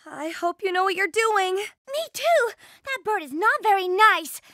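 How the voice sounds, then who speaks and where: A bubbly teen heroine. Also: female voice, very high voice, a little slow, no echo, studio quality, full character voice. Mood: excited. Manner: excitable and chatty. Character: bubbly, impulsive teenage heroine.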